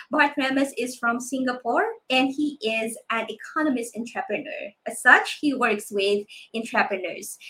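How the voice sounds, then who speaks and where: A woman's voice: on the high side, sharp tone, low-quality recording. A woman from the Philippines, hosting a live-streamed talk show with frequent ums and restarts. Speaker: host.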